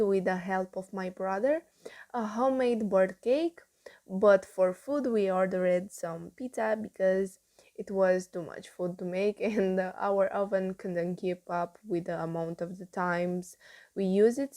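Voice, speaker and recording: A teenage girl with a Romanian accent answers mock speaking-exam questions. She speaks hesitantly and searches for her words. Her voice sits at a middle pitch for a woman. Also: a little mellow. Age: teens.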